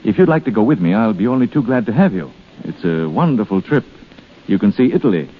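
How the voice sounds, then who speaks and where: An actor playing a brisk, urbane schemer in a hurry in a 1930s radio drama. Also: male voice, fairly low pitch, very animated, slightly rough. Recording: tinny, noisy 1930s radio recording.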